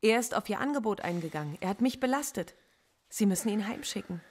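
An actress playing a philosophy professor under interrogation in a radio play, answering in short, cool, guarded replies. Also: female voice, mid-range voice, animated, quick pace, a little mellow, lightly acted. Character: composed female philosophy professor, stubborn and proud. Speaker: suspect in interrogation.

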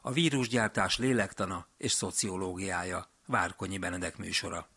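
A man hosting a science radio program, reading scripted narration in a measured, deliberate way. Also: low voice, fairly even intonation, sharp tone, faint music behind.

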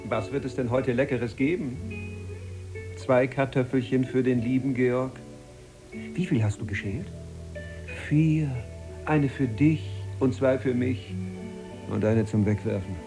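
An actor playing a frustrated, sarcastic archivist husband in a German radio play, sardonic and brooding. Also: male voice, medium pitch, measured pace, pauses often, over music, natural voice.

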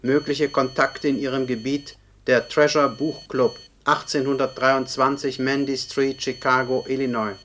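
An actor playing a dry, formal official who reads out police records in a radio play, punctuation included. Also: male voice, medium pitch, measured pace, few pauses, close to an everyday voice. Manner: monotone, formal, spells out punctuation. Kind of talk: German radio play acting, document read-out. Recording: older radio drama, noticeable background noise.

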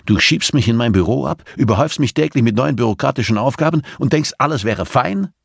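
A man's voice: mid-range voice, expressive, quick pace, gravelly, no echo, clean studio sound, voice pushed up. A man voicing an irascible, sardonic male investigator in an audiobook, defiant, cutting and insistent. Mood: indignant. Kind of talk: audiobook character dialogue.

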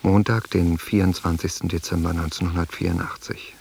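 Deep, gravelly, reflective diarist. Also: male voice, flat delivery, a little mellow. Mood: tender, reflective. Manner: introspective, measured. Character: reflective, moved man reading his diary. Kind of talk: radio play interior monologue. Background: music.

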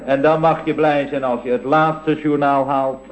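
Man acting deadpan male underling, deferential, droll, matter-of-fact explainer, mid pitch, dull old radio comedy sound. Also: normal speed, background noise, lightly acted. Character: deferential, droll male employee explaining absurd building defects.

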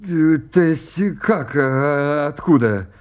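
A man playing an excitable, pedantic, stubborn landowner suitor in a radio farce, arguing with fussy politeness. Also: fairly high pitch, takes it slowly, dull audio. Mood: indignant, insistent. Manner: fussily polite, pleading, argumentative. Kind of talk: Russian radio comedy acting.

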